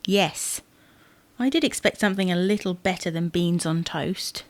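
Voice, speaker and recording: A woman's voice: fairly low pitch, moderate pace, dry recording, lightly acted. A woman playing a fussy, exasperated wife who bickers insistently in a domestic audio sitcom. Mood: dry, teasing. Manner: bickering, insistent, theatrically indignant. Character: fussy, exasperated wife fretting over wedding clothes.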